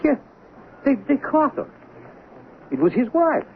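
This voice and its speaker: A man playing a colloquial, chatty working man in a radio drama, excitable, coaxing and incredulous. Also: very high-pitched, unhurried, vocal fry, exaggerated voice. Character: chatty, incredulous working-class husband Carlos. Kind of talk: 1970s radio drama acting. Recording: tinny, noisy 1970s radio broadcast.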